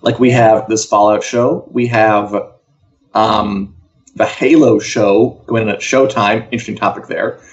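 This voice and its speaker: A man's voice: lowish voice, steady tone, a little slow, lo-fi sound. A man co-hosting an entertainment chat show, talking casually and wryly with offhand asides. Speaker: co-host of an entertainment news live show. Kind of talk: casual opinionated chat about TV and games.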